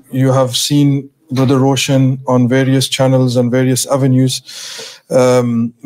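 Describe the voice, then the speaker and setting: A man in his thirties hosting a podcast, speaking haltingly with frequent hesitations as he introduces things at a studio mic. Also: medium pitch, a little mellow, rough recording. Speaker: podcast host. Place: small podcast studio around a table. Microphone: close studio mic on boom arm.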